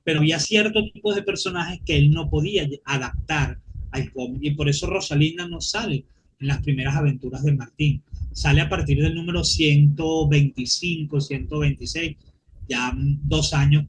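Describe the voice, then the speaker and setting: A man with a Venezuelan accent and a fairly high voice gives detailed recollections as an interview guest. He searches for words as he goes, with faint noise behind him. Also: normal speed, poor audio. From Maracay, Venezuela. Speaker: guest comics historian.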